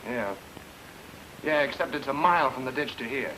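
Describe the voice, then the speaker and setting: Wry radio detective, noisy recording. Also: male voice, medium pitch, animated, moderate pace, bright, forceful tone. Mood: casual, shrewd. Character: married amateur sleuth man, wry and quick-witted. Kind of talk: old-time radio detective drama acting.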